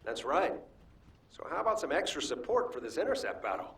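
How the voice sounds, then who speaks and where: A man's voice: on the high side, very animated, fairly quick, fairly bright tone, husky, full character voice. A man playing a cheeky, easygoing young soldier who loves cooking in a video game, with a casual, teasing delivery. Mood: casual, businesslike. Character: easygoing cheeky young soldier-cook, passionate about food.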